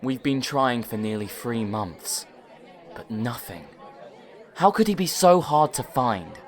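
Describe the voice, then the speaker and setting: A man's voice: medium pitch, expressive, slow, some chatter behind. A man playing an overworked, dutiful young agent and mentor in an amateur anime-style audio drama. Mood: weary.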